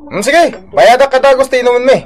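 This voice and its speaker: A man's voice: extremely high pitch, fast. A man playing an irresponsible, easily embarrassed drinking husband in a radio drama, protesting in exclamations. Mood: carefree.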